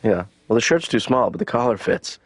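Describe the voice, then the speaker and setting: An actor playing an aimless young adult son in a cartoon, talking in a rambling, hesitant deadpan mumble. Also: male voice, a little fast, dry recording. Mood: eager. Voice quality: low gravelly deadpan mumble. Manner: rambling, hesitant, many fillers. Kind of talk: animated sitcom dialogue.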